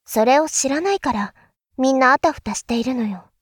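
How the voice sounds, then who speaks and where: A woman playing a calm, enigmatic elder sister in an audio drama, speaking in a deliberate, philosophical, teacherly way. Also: high-pitched, breathy edge, no echo, clean studio sound. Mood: gentle, knowing. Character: calm, enigmatic elder sister musing darkly about world affairs. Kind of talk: Japanese audio drama acting.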